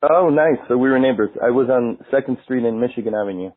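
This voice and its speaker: A man's voice: medium pitch, fast. A man, a rabbi explaining things thoughtfully in a friendly chat. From United States. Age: 50s. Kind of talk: casual conversation. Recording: phone-like tinny line.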